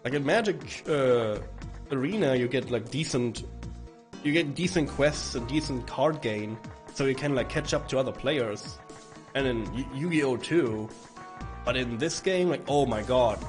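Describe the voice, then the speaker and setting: A man with a hoarse, rough voice from bronchitis, chatting casually and rambling to stream viewers while playing a game. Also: medium pitch, measured pace. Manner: rambling, chatty, swearing, with frequent restarts. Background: faint game music.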